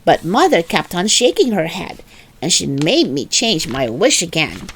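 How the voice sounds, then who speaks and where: Female children's book narrator: expressive read-aloud, unhurried pace, lively intonation. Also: fairly low pitch, a little hiss or hum. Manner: expressive, deliberate storytelling.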